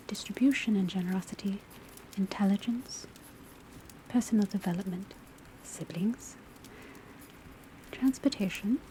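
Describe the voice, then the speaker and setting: Woman as a female witch academy teacher, ASMR roleplay, breathy whisper, studious and calm, unhurried lecture, faint rainy background noise. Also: mid-range voice, natural voice, rough recording. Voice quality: soft, breathy, largely whispered. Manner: measured, deliberate, instructive. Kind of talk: ASMR classroom roleplay, soft-spoken lecture. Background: faint patter of rain on rooftop.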